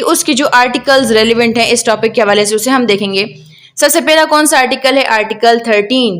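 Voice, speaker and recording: A woman's voice: on the high side, fairly bright tone. A woman with a Pakistani accent lecturing on law in a didactic way, posing questions and answering them, mixing in English legal terms. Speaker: law teacher.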